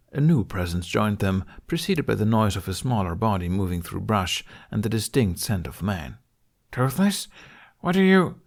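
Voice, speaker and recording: Low-pitched male audiobook narrator. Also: a little mellow. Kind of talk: scripted fantasy story narration.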